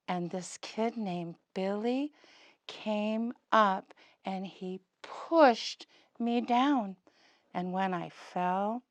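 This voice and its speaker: An earnest young boy puppet voiced by a woman speaks slowly to small children in simple words. The puppet-show storytelling is childlike and plain, with a dry sound free of room echo. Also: mid-range voice.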